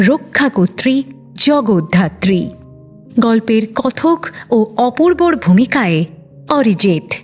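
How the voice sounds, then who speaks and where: Slow, lilting woman radio presenter. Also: a little high. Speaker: presenter introducing radio story. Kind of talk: scripted radio announcement.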